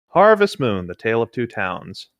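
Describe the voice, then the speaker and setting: A man's voice: medium pitch, sing-song, moderate pace, fairly bright tone, dry recording, clean studio sound. A man casually reading a story aloud as co-host, playful and jokey, breaking into chatty banter. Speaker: co-host reading and riffing on internet horror stories.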